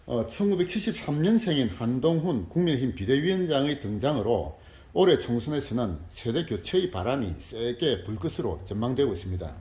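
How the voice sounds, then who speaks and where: A man interviewing formally, reading out prepared questions in a measured way. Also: medium pitch, big pitch swings, darkish tone. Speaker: interviewer, civic group leader. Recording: echoey room, faint background noise.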